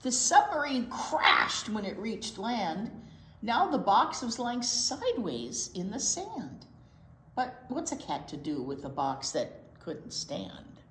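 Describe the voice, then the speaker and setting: A woman's voice: fairly high pitch, sing-song, takes it slowly, fairly bright tone, a little hiss or hum. A woman reading a picture book aloud to young children as a storytime teacher, expressively drawing out key words and asking little questions. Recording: roomy, echoey indoor sound.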